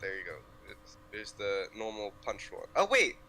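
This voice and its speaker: A man's voice: on the low side, big pitch swings, moderate pace, a loud crowd. A man chatting casually as a player in a tabletop game. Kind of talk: casual chat.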